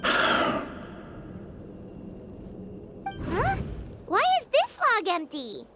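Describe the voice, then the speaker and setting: A woman voicing a small, excitable fairy-like companion creature in a video game, eager and squeaky. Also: slow, heavy background noise, full character voice. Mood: puzzled. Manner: eager, urgent exclamations.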